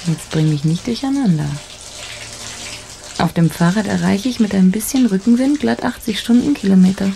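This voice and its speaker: An actress playing a teasing, self-possessed lover in a radio crime drama, speaking with intimate, wry ease. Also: female voice, medium pitch, lively intonation, normal speed, creaky, dark tone, a little hiss or hum.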